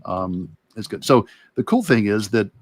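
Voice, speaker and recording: Man, low voice, dry close sound, illustrator teaching, casual, thinking aloud in loose fragments. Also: lively intonation, unhurried, no echo. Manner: thinking aloud in loose, broken asides while working. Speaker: illustrator giving a live painting demonstration. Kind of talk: casual instructional talk while working.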